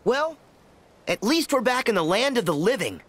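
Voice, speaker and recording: Brief: an eager teenage hero, lively and earnest. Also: male voice, very high voice, normal speed, exaggerated voice. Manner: energetic, earnest.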